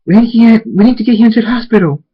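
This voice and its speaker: In a slightly muffled recording, a man acts out a distraught female pony from a cartoon story. The voice is pushed high and loud, pleading desperately. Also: rapid-fire, slightly rough. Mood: frantic, pleading.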